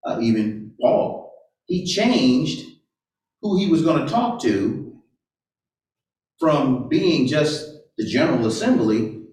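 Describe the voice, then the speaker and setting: A man's voice: on the high side, sing-song, takes it slowly, long pauses, darkish tone. A man giving an interactive sermon who asks many rhetorical questions and keeps checking in with "right?". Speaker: pastor or Bible teacher. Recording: roomy echo, far from the mic.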